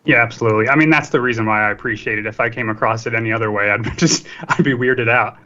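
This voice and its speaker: A man's voice: medium pitch, steady tone, quick pace, pushed and bright. A man chatting casually as a guest on a podcast. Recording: dull lo-fi mic, faint music behind.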